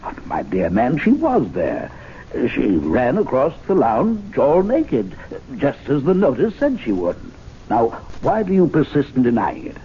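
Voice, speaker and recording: An actor playing an assured, patronizing gentleman who presides over a club, in measured old-time radio drama style. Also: medium pitch, huge pitch swings. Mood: condescending, incredulous. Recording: muffled, noisy vintage radio recording.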